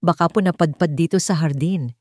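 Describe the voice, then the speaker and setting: A woman's voice: sits low, a little fast, a little mellow, no echo, studio quality. Woman voicing a meek, nervous housemaid. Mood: nervous, pleading. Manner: deferential, nervous. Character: frightened, meek household maid hiding her nerves.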